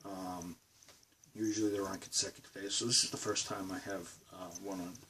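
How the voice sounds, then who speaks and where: Deep-voiced Boston man, rambling. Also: level tone, moderate pace, bright, forceful tone, far from the mic, slight background noise. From Massachusetts, USA. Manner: rambling, meandering talk. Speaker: vlogger. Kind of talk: casual chat to camera. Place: his bedroom studio.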